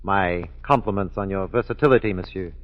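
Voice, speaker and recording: A man's voice: deep voice, a little fast, highs cut off, close to an everyday voice. A man acting a courteous but jealous police inspector in a 1940s radio drama, formal, clipped and drily probing. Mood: dry, probing.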